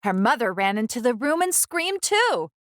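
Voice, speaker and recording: A woman narrating a children's book in an expressive, dramatic, deliberate storytelling style. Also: a little high, no echo, clean studio sound.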